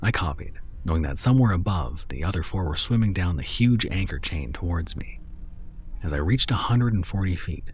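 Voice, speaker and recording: A man narrating a first-person horror story in a measured, storytelling way. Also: sits low, slightly rough. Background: soft background music.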